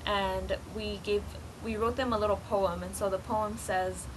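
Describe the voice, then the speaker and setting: A woman with an average-pitched voice gives an earnest testimonial as a student. She explains as she goes, with noticeable ambient noise around her. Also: steady tone, unhurried. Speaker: social work student. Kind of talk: interview testimonial. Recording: clearly noisy background ambience.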